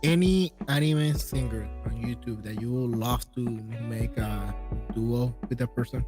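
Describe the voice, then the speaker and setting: A man hosting a casual interview, speaking haltingly as he searches for his words. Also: medium pitch. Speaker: interview host. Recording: music clearly behind the voice. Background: music.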